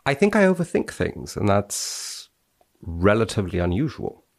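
A man speaking as a podcast guest, wry and expressive, with a dryly playful touch. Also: lowish voice, moderate pace, creaky. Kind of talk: dramatic reading and wry conversation.

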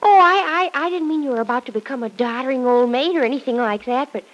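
A woman's voice: high voice, fast talker, close to an everyday voice, low-quality recording. An actress playing an eager, naive, gushing young girl in an old radio soap, on a vintage recording. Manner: gushing, girlish slang. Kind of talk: 1940s-50s radio soap acting.

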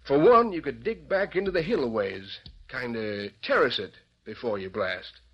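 Elderly Western homesteader, American, reasonable yet firm, husky, lively intonation, dull vintage radio sound. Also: male voice, mid-range voice. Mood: earnest, reasoning. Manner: earnest, measured, folksy. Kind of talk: old-time radio Western acting. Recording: muffled vintage radio recording.

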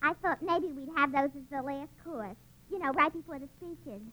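Shrill, high young miss. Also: female voice, moderate pace, sharp tone, low-quality recording. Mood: earnest, planning. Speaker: radio comedy actor. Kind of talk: 1940s radio comedy acting.

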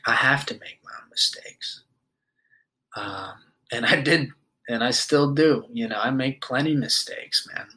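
A young man in his twenties talks straight to his listeners in a loose, rambling monologue. He swears freely and fills his pauses with "you know" and "um". His voice sits at a middling pitch. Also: bright, forceful tone. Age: 20s. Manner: loose and rambling, swears often, many fillers. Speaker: podcast host. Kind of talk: casual monologue.

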